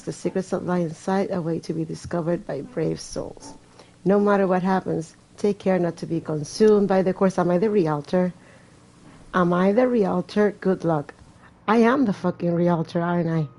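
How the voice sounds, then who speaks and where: Low, mellow woman with wry asides. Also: moderate pace, creaky, a little hiss or hum, poor audio. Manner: sarcastic, wry, swearing asides. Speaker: let's play streamer. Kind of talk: reading game text aloud with casual commentary.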